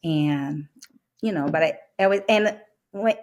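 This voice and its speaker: Woman, 30s, strong Brazilian accent, lowish voice, hesitant and chatty, lots of fillers. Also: a little mellow. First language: Portuguese. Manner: halting, with frequent "like" and "you know" fillers. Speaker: guest, medical sales rep. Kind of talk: casual interview chat.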